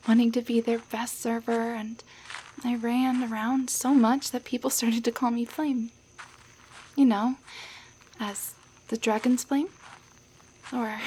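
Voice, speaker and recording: Woman acting as a shy tiny enchanted doll, young waitress, breathy half-whisper, slow and stammery, highish voice, audio roleplay. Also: a little hiss or hum, close to an everyday voice, rough recording. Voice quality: soft, breathy, often near-whisper. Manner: hesitant, halting, stammering. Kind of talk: roleplay audio drama, intimate one-sided dialogue.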